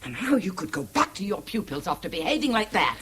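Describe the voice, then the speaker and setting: Husky, scornful English wife. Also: female voice, lowish voice, big pitch swings, brisk, a bit bright, heavily acted. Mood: bitter, accusing. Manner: biting, sarcastic, emphatic. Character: scornful, indignant wife berating her husband. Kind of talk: 1970s British radio drama acting.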